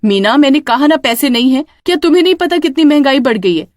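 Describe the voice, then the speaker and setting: Casting: strict, tired village mum, performed by a woman, admonishing and exasperated, higher-pitched, animated storybook delivery. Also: fast talker, no room sound, studio quality. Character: strict, worn-out, scolding village mother.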